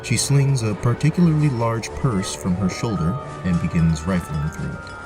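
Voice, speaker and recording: Deep voiced guy narrating a fantasy adventure, music playing under him. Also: moderate pace. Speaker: narrator, game master.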